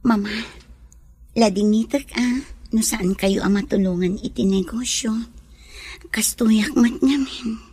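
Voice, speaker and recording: A woman playing a dutiful young daughter, speaking softly and respectfully in a radio drama. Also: medium pitch, unhurried, pauses often, husky, no echo.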